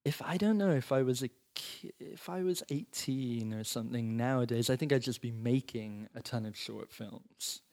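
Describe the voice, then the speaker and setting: A man's voice: mid-range voice, moderate pace, hardly any pauses, darkish tone. A man in his thirties who grew up in Italy, talking casually as an interview guest, thoughtful and full of hedges. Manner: thoughtful, hedging with "you know" and "like". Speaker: guest screenwriter.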